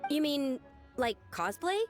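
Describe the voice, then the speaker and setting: A woman's voice: sits high, animated, a little slow, soft background music. A woman voicing an earnest, candid young female apprentice with a determined streak in a video game. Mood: puzzled, thoughtful. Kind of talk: video game character dialogue.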